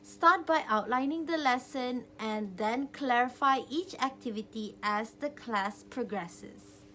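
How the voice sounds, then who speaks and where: A woman's voice: on the high side, takes it slowly, sharp tone, a little hiss or hum. A young adult woman giving an instructional student presentation, explaining things step by step from loosely followed notes. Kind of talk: semi-scripted instructional presentation.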